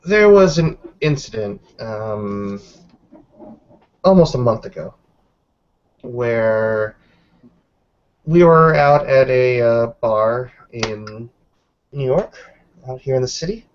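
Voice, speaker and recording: A man playing a guarded, knowing werewolf woman in an improvised roleplay, speaking in a measured, hedging, explanatory way. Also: medium pitch, lively intonation, lo-fi sound.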